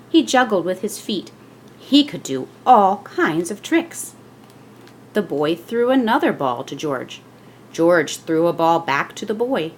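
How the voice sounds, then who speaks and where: A woman reading a children's picture book aloud as scripted narration, with expressive storytelling delivery. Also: on the low side, slight background noise.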